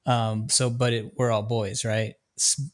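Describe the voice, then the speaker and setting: A man's voice: lowish voice, unhurried. Easygoing young man hosting a podcast. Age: young adult. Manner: relaxed, friendly questions with filler "uh". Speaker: podcast host. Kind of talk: casual interview conversation.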